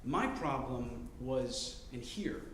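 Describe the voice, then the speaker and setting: A man in his twenties telling a story on stage, choosing and enunciating each word carefully, with deliberate pauses. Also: medium pitch, slight background noise. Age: 20s. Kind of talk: live storytelling performance. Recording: roomy live-venue sound.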